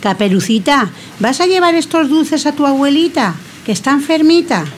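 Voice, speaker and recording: A woman playing a caring mother, speaking sweetly and clearly in a children's radio play. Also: high voice, animated, normal speed, slight background noise. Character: caring mother of a little girl. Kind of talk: children's radio theatre acting.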